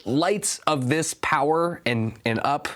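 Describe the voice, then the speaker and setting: A man's voice: a little high, expressive, takes it slowly, fairly bright tone. Stuffy-voiced man reviewing gear. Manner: casual, enthusiastic about gear. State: stuffy, under the weather. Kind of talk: casual product review.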